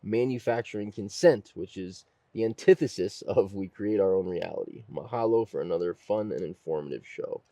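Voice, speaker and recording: A man with a fairly low voice hosts a casual podcast. He tells stories conversationally and voices other people's remarks as he goes. The sound is close and dry. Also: moderate pace, hardly any pauses, mellow, no echo. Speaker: podcast host.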